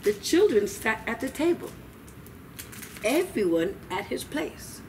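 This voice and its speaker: A middle-aged woman reading aloud to young children, expressive and dramatic, with little asides to her listeners. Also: a little high, slow. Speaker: library storytime reader. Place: small children's room indoors. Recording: distant mic, noisy room ambience.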